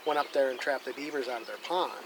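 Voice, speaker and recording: Chatty man outdoors, loud background noise. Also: mid-range voice, steady tone, fast. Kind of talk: casual interview chat.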